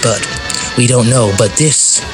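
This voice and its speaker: An actor playing a troubled small-town local haunted by a ghost in an audio drama, speaking in a halting, folksy way. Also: male voice, mid-range voice, close to an everyday voice. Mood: guarded, mysterious. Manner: halting, hesitant, repeating words, folksy. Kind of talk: audio drama acting, naturalistic interview dialogue. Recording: music behind the voice.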